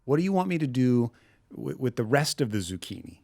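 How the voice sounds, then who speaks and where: An actor playing a loyal recovering addict in an audio drama, understated and full of incredulous questions. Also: male voice, medium pitch, big pitch swings, a little fast, darkish tone, dry recording, studio quality. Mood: questioning. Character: wry, sceptical recovering addict, loyal friend.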